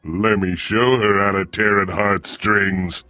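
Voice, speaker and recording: A cruel brute in a game drama. He taunts in slangy words, his voice low and extremely slow, faint music behind. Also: fairly even intonation, sharp tone, no room sound. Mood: gleefully cruel. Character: sadistic, mocking male thug who relishes violence. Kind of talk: dramatic video game voice acting.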